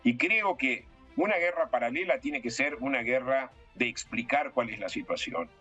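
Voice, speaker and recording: Male political commentator, bright forceful tone, emphatic explainer, faint music underneath. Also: medium pitch, normal speed, muffled recording. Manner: emphatic, explanatory, poses rhetorical questions. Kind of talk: political analysis in an interview.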